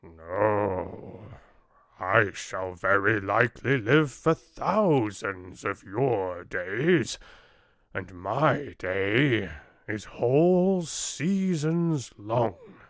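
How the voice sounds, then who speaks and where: A man acts out a huge old storybook oak tree. It talks with enormous slowness, grave and pitying, its pitch rising and sinking widely in a dry recording. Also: lively intonation, a bit bright, dry recording, heavily acted. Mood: grave, patient. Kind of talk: storybook character voice.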